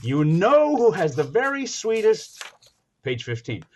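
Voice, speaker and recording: A man's voice: sits high, big pitch swings, unhurried, a little mellow, a little hiss or hum. A man playing a folksy, eager 1940s radio comedy guest, insistent and stumbling on a lo-fi recording.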